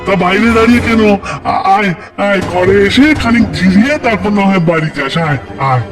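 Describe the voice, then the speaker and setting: A scheming, greedy villager in a cartoon folk tale. He coaxes with false sweetness in a slightly high, very expressive voice. Loud music runs behind him. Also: fairly quick. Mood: greedy, coaxing.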